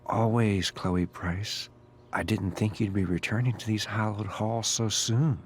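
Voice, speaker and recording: Philosophical, eccentric game groundskeeper male performer, unhurried and poetically formal, faint noise behind the voice. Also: on the low side, fairly even intonation, close to an everyday voice. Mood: musing, cordial. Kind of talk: video game character acting.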